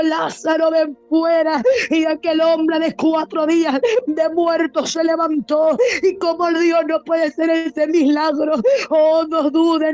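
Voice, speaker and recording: Casting: high-voiced Pentecostal preacher, pleading and repetitive, emotional and near tears, narrow melodic range, dull lo-fi sound. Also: a little mellow. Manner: pleading, repetitive, declarative exhortation. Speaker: preacher leading a healing prayer. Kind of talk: fervent Pentecostal intercessory prayer. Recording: dull lo-fi audio.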